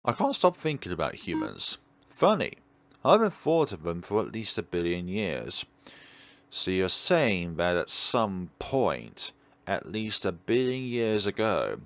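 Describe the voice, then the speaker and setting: Male, very low pitch, animated melody, musing let's play host, digressive delivery. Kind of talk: reading a visual novel aloud with rambling off-script commentary.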